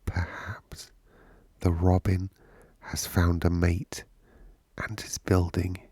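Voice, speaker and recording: A man voicing a gentle young girl in a storybook, speaking slowly in a soft, soothing drone. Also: low voice, little pitch movement, slightly rough, dry recording, heavily acted. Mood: soothing, dreamy. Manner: slow, hushed, droning.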